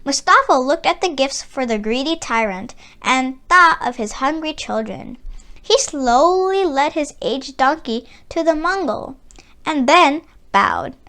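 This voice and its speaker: A woman reading a children's story aloud in an expressive, deliberate storytelling way. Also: high-pitched. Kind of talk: read-aloud storybook narration.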